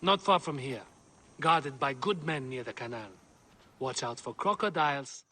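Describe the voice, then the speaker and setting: Blunt, bright-voiced grumbling workman. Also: medium pitch, animated, moderate pace. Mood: gruff, matter-of-fact. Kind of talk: video game acting.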